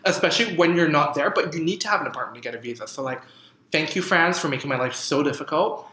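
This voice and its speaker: A young man in his twenties co-hosting a casual TV recap chat, animated and exaggerated, saying "like" constantly. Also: a little high, fast. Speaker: co-host. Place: room in Canada. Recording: roomy echo.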